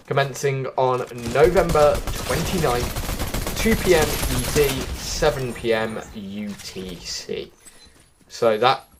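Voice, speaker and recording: A man's voice: mid-range voice, slight background noise. A man giving casual, chatty walkthrough commentary as a gaming video host, often thinking aloud. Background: background music.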